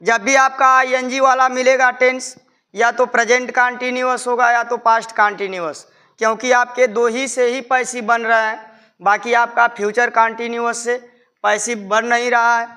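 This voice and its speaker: Male Indian tutor, bright, crisp voice, step-by-step didactic delivery. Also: extremely high pitch, flat delivery, normal speed. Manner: didactic, repeats instructions. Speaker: English grammar teacher. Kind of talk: instructional lesson, explaining step by step in Hindi with English terms.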